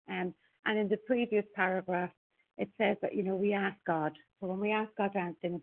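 A woman from Ireland sharing reflectively on a phone call to a recovery meeting, halting with many ums. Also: on the low side, slightly rough. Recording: phone line.

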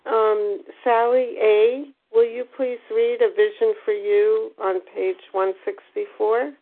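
A woman chairing a recovery meeting, speaking in a formal, measured way. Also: a little high, little pitch movement. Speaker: meeting leader. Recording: tinny phone line.

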